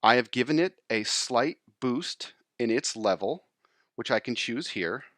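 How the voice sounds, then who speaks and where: A man explaining software step by step in an informal screencast tutorial voice-over. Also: mid-range voice, animated, unhurried, dry recording, studio quality.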